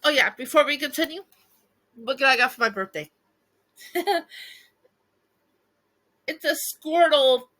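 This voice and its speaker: A woman reading a bedtime story aloud and chatting casually to viewers, with a playful, giggly, expressive manner. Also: high-pitched, measured pace, pauses often. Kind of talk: storybook read-aloud with casual asides to fans.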